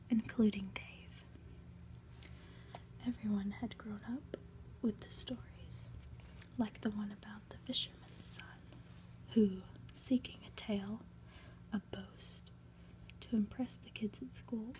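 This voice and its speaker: Slow, softly whispering woman reading a story. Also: medium pitch, rough recording. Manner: soft, deliberate, lingering on phrases with pauses. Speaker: ASMR reader, channel host.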